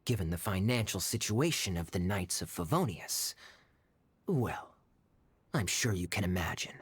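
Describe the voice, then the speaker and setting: A man playing a suave, theatrical young cavalry captain in a video game, smooth and wry. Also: low voice, animated, a little slow, no echo, exaggerated voice. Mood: wry, persuasive. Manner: smooth, wry, mock-wounded.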